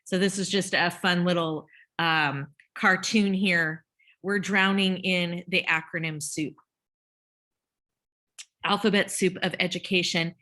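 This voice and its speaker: A woman's voice: on the low side, steady tone, slow pace, bright, forceful tone. A woman presenting a teacher-training workshop over a video call, chatty, with fillers and restarts. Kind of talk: casual conference presentation.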